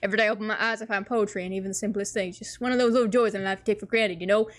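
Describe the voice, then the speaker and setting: A hoarse woman playing a cool, ironic teenage boy, reading his rambling lines fast and flat. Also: medium pitch, lightly acted. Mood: deadpan. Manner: rapid, rambling deadpan monologue.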